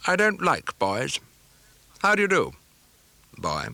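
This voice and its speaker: A severe, gloomy spinster from a classic storybook, acted out by a man. The voice is lifted high and swings with disdain. Every phrase is clipped and imperious, cold and disapproving. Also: wildly swooping pitch, slow, gravelly, pushed and bright, dry recording, exaggerated voice. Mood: cold, disdainful.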